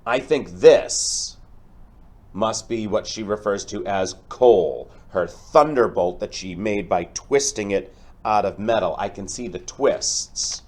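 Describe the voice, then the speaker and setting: A man teaching through a storybook read-aloud, speaking deliberately and explaining things directly to his pupils. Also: on the low side, darkish tone.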